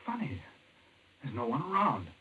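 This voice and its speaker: An actor playing an earnest, urgent doctor hero in a 1940s radio thriller, speaking in a brisk, intense, clipped way. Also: male voice, medium pitch, very animated, pushed and bright, low-quality recording. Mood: urgent, businesslike. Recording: old radio broadcast, faint noise.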